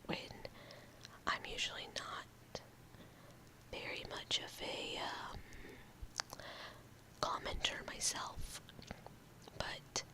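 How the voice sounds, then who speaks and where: A young woman in her room, whispering slowly and softly into a close mic in a casual ASMR ramble, her voice a little odd after a cold. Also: low-quality recording. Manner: slow, halting, talks with fillers and small laughs. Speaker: ASMR video creator.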